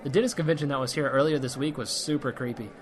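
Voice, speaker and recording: A man's voice: mid-range voice, fast, natural voice. A man playing a cocky, sardonic monster hunter with breezy banter in a fantasy comedy audio drama. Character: wisecracking, cocky male monster hunter posing as security.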